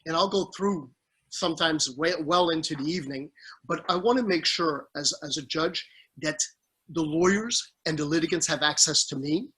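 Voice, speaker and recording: A middle-aged man from the Caribbean with a high, bright voice and a husky edge, answering questions over a video call. He talks earnestly, restarting sentences and leaning on fillers as he explains his work. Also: normal speed, low-quality recording. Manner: earnest, warm toward family, speaks with restarts and fillers. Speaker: family law judge.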